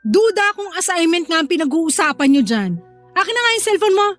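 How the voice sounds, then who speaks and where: A woman's voice: very high voice, sing-song, fairly quick, fairly bright tone, full character voice. A woman playing a strict, irritable, scolding older sister in a Filipino audio drama, with a Filipino accent. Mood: exasperated, sarcastic. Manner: scolding, sarcastic. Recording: music behind the voice. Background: music.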